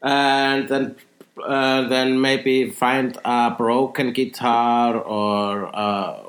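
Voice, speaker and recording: A man, joking deadpan in a casual chat as co-host. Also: medium pitch, extremely slow. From Iceland. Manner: deadpan joking, mock-serious. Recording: roomy echo.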